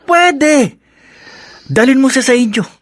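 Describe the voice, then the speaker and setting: An actor playing a brash, callous Filipino drinking buddy in a radio drama, scoffing, teasing and pushy. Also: male voice, very high voice, lively intonation, slow pace, a bit bright, no room sound, studio quality, heavily acted. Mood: pushy, scheming.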